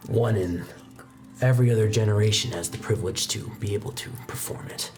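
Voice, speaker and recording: A man voicing a stern, solemn old sword master in a tabletop game, speaking in a grave, measured, instructive way. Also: lowish voice, voice pushed down, lightly acted. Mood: grave, reverent. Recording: background music under the voice.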